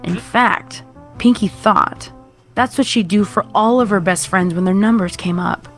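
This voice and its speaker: A woman's voice: mid-range voice, a little slow, faint music behind. A woman narrator reading a scripted horror story.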